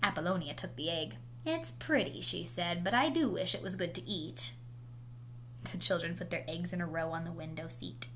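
A woman's voice: lowish voice, moderate pace. Woman reading a storybook, noisy recording. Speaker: storyteller reading a picture book aloud. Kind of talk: children's story read-aloud. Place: indoors at home, small room. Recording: noisy home recording with steady hum.

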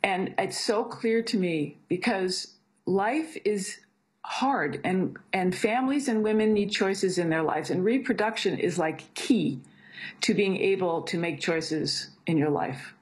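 A woman's voice: medium pitch, unhurried. A middle-aged woman, a physician assistant, speaking earnestly in a news interview, often restarting her sentences. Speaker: physician assistant, abortion provider.

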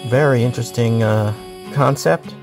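A man's voice: mid-range voice, normal speed, over music. A man hosting a radio show, chatting in an informal, hesitant way. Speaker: radio host, interviewer. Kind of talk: casual radio chat.

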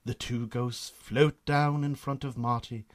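A man's voice: mid-range voice, fairly even intonation, unhurried. A man with a Northern Irish accent narrating a scripted radio drama.

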